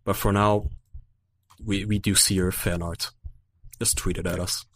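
A man in his twenties with a slightly low voice talks in a relaxed, casual way. He swears freely and laughs easily as he answers listener questions on a podcast. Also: a little slow, slight background noise. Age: 20s. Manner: laid-back, swears freely, laughs often. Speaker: podcast co-host. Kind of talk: casual chat.

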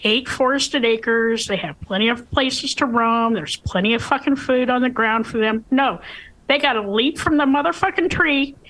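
A woman's voice: fairly high pitch, normal speed, a bit bright, low-quality recording. A woman co-host chatting casually, blunt and swearing freely. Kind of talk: casual chat.

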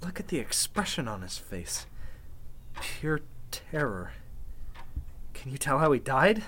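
Guy playing wary man in an old radio play, medium pitch, very up and down, bit of noise. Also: sing-song, slow pace, a bit bright. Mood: shaken, suspicious. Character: Alan, wary man helping search a mystery house. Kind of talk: Golden Age style radio drama acting.

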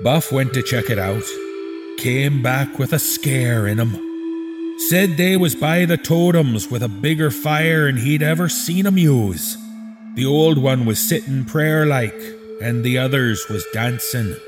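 A man's voice: medium pitch, unhurried, over music, close to an everyday voice. A man voicing a crude, callous frontiersman reading his journal aloud in a folksy backwoods drawl with coarse grammar. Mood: sneering, gossipy. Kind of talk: read-aloud journal entry in a horror story.

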